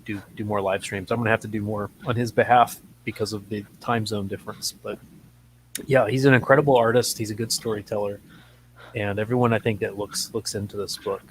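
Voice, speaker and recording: A man with a fairly low voice co-hosts a loose, casual chat. He talks haltingly, stopping to search for words with plenty of ums. Some noise sits audibly behind his voice. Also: fairly even intonation, poor audio. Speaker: talk show co-host.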